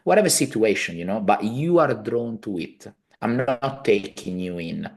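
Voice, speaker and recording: Low-voiced Italian man explaining. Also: animated, normal speed. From Italy. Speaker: podcast guest, healer, family constellations practitioner. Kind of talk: conversational interview explanation. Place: living room at home, indoors, video call.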